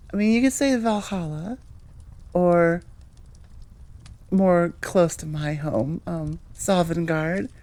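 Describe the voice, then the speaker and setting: A woman's voice: lowish voice, darkish tone. Chatty, enthusiastic woman rambling to listeners. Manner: enthusiastic, rambling, self-interrupting. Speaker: audio roleplay creator and host. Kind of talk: casual chatty outro to listeners.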